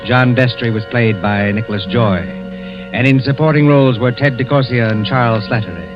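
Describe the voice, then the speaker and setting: A man hosting a vintage radio mystery show with scripted, theatrical, ominous and measured delivery. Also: medium pitch. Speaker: announcer and host. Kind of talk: 1940s radio scripted narration. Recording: dull vintage 1940s radio recording. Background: dramatic music.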